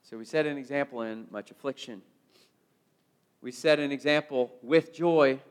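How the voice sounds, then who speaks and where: A middle-aged American man preaching a sermon, emphatic and given to repeated phrases, moving between loud proclamation and hushed delivery. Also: mid-range voice, moderate pace, clear, resonant tone.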